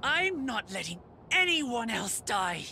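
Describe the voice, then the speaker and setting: An actress playing a brave, earnest young princess and protective sister in a fantasy video game, resolute and heartfelt. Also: female voice, on the high side, big pitch swings, slow, background noise, full character voice. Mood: defiant.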